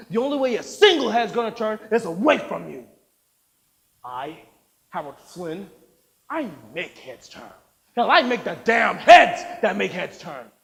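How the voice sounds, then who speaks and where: A bullying film producer, played by a male storyteller, sneers and bellows in a high, swooping voice. His tone is sharp and forceful, and a long reverb trails behind every line as if he were in an echoey hall. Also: exaggerated voice. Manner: shouting, sneering, menacing.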